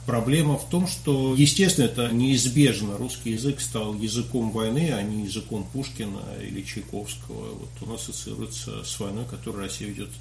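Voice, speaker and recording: A man whose first language is Russian, commenting as a podcast guest in a thoughtful way and often trailing off quietly. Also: on the low side, fairly quick, vocal fry, hardly any pauses. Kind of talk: conversational analysis. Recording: echoey room with faint hum.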